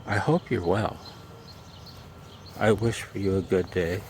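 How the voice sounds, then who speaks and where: A man with a very low, gravelly voice talks in an unhurried, rambling way. He is casual and self-deprecating, like someone chatting on a homemade vlog. He is recorded outdoors, with faint background noise behind him. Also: steady tone, darkish tone. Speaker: amateur radio vlogger. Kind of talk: casual chat. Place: outdoors in a backyard garden and deck.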